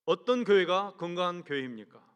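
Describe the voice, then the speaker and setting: A man, a senior pastor, preaching a sermon earnestly, asking the congregation rhetorical questions and letting sentence ends fall away low. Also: big pitch swings, smooth. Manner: measured delivery, trails off low at sentence ends. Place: large church sanctuary. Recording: long reverb from a church hall.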